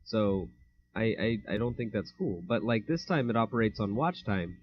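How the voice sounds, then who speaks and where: A man's voice: lowish voice, animated, normal speed. A young man co-hosting a casual podcast, rambling, with many fillers and easy laughs. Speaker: podcast co-host. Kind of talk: casual chat. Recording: dull lo-fi home mic.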